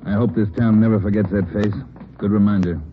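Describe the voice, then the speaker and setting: An actor playing a hard-nosed police officer who talks firmly and bluntly in a 1950s radio drama. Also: male voice, lowish voice, steady tone, brisk, natural voice. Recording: narrow-band old radio broadcast with hiss.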